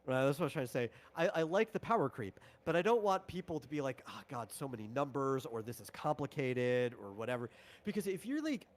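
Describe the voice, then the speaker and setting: A man's voice: medium pitch, very animated, a little fast. A man talking casually on a livestream as a tabletop game designer, explaining rules in a rambling, informal way.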